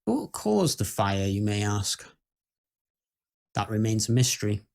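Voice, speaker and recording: A British man playing a genteel, unruffled heir in a ghost story, speaking formally with wry, measured delivery. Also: fairly low pitch, sing-song, creaky, a little mellow, exaggerated voice. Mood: measured intrigue. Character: genteel, unruffled English heir recounting his haunted family house. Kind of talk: scripted ghost story monologue.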